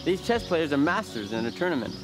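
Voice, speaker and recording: A man, an actor, giving a casual on-camera interview in a halting, rambling way, as if thinking aloud. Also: a little high, very animated, over music. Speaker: actor in a behind-the-scenes interview.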